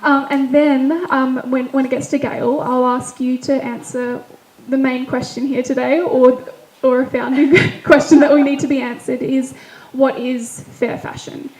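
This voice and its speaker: A woman with a high voice and a fairly level delivery, moderating a panel in a friendly manner. An echoey room carries a faint murmur of ambience. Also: level tone, unhurried, a little mellow.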